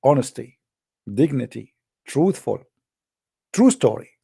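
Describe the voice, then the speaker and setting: A male call-in show host with a gravelly, dark voice, building his points in an emphatic, mocking way. He is recorded dry and close, with no room around him. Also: medium pitch, expressive, slow, no room sound. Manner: emphatic, sarcastic, preaching. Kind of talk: live call-in show talk.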